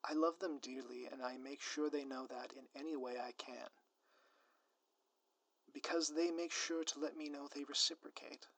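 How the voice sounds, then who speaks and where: A man's voice: medium pitch, steady tone, normal speed, a bit bright, no room sound. A man acting a scheming, secretly lovestruck supervillain in an ASMR audio roleplay, speaking to the listener in grandiloquent, florid phrases. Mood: tender, hesitant. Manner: grandiloquent, theatrical, florid formal wording. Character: theatrical male supervillain, scheming, secretly lovestruck doting spouse. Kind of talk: scripted ASMR roleplay, direct address to listener.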